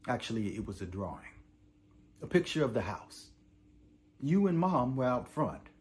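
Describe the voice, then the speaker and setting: A male actor playing a weary, defiant imprisoned revolutionary in a theatrical monologue, reading a letter to his parents with deliberate, oratorical delivery. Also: mid-range voice, sing-song, close to an everyday voice. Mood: sorrowful, reflective. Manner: deliberate oratorical delivery swinging from hushed to impassioned. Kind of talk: dramatic theatrical monologue, letter read aloud.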